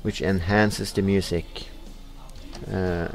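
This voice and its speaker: Young Norwegian man, low voice, halting casual game commentary, faint music bed. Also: steady tone. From Norway. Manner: hesitant, many uh fillers, reading in-game text aloud. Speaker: let's play host.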